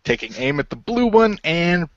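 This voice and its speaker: A man's voice: fairly high pitch, very animated, normal speed, lo-fi sound. A man casually improvising as a player in a radio role play.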